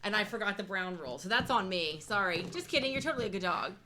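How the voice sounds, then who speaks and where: Bright, animated woman playing a game. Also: medium pitch, fast, a little hiss or hum. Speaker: card game player. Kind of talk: casual game-play chat.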